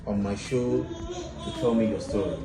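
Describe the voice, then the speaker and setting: Echoey, low-voiced male show host. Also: measured pace, creaky. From Africa. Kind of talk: casual chat while cooking and interviewing. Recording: echoey, distant mic, loud music behind.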